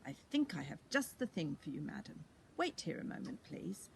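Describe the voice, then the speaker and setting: A woman's voice: low-pitched, big pitch swings, moderate pace, gravelly, a little hiss or hum, full character voice. A woman voicing a courteous, kindly male shopkeeper in a children's storybook, with polite, formal patter. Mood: thoughtful.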